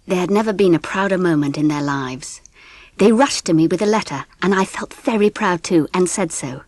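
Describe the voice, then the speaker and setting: A woman playing a gentle English mother, telling the story in an expressive audiobook narration. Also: fairly low pitch, normal speed, no room sound, lightly acted. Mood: proud.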